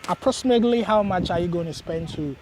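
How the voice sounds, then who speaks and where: A man's voice: high voice, fast talker, background noise. A man hosting an interview, asking questions and encouraging with frequent short replies. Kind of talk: casual interview.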